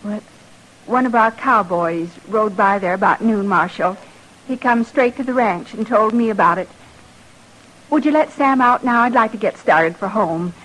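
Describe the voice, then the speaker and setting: A woman's voice: medium pitch, moderate pace, a bit bright, natural voice. A woman playing a polite but guarded, insistent rancher's wife with a rural American accent in an old-time radio western, plain-spoken in manner. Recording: noisy vintage radio drama recording.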